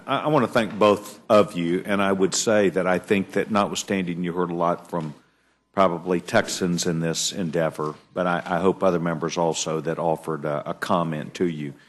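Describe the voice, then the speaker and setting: A man chairing a congressional committee hearing, formal with halting restarts. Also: deep voice. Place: hearing room.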